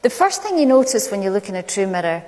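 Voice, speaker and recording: A middle-aged woman with a Glaswegian Scottish accent gives a prepared stage talk, telling stories in a measured, conversational way with deliberate pauses. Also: medium pitch, sing-song, mellow. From Glasgow, Scotland. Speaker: public speaker. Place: large indoor stage venue. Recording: echoey hall, long reverb.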